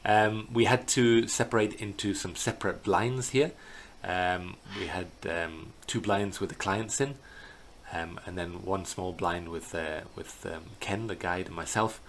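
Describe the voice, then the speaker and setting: Low-voiced man, halting, noisy recording. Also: a bit bright. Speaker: bird tour guide presenting a virtual tour. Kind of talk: unscripted slideshow narration. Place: indoors in a small plain room. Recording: clear background noise.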